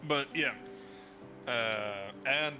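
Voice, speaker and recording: A man's voice: mid-range voice, extremely slow. A man chatting casually on a livestream call, joking and rambling, tripping over his words, sleepy at an early hour. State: sleepy, awake early in the morning. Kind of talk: casual chat. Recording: muffled lo-fi call audio. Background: guitar music.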